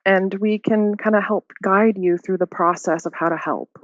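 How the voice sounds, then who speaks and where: A woman's voice: mid-range voice, steady tone, normal speed, no room sound. A woman, a hearing clinician on a podcast, chatting in an upbeat way and laughing often. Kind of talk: casual podcast interview. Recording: dull lo-fi dry sound.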